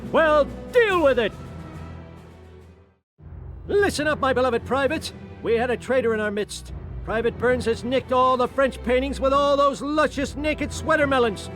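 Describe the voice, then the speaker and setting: A man's voice: extremely high pitch, a bit bright. An actor playing a crotchety old grandfather giving wartime orders in a cartoon game cutscene. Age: older. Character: cranky old grandpa barking war orders.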